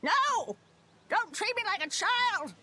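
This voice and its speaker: An actress playing a prickly, portentous older village elder preaching doom in a video game. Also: female voice, very high-pitched, big pitch swings, pushed and bright, exaggerated voice. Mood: indignant. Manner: slow, portentous, easily indignant.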